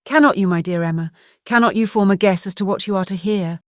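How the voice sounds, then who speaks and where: Woman playing a troubled gentle english wife, british accent, mid pitch, dry close sound, audiobook drama. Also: fast, no room sound, close to an everyday voice. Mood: trembling, anxious. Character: distressed, gentle married English gentlewoman breaking news. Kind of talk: full-cast audiobook drama reading.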